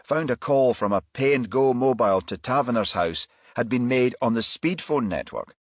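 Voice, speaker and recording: A man's voice: mid-range voice, animated, normal speed, no echo, clean studio sound, pitched above the performer's own voice, close to an everyday voice. A man voicing an eager, deferential junior detective who reports promptly in clipped phrases, in a crime audiobook. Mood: eager, reporting.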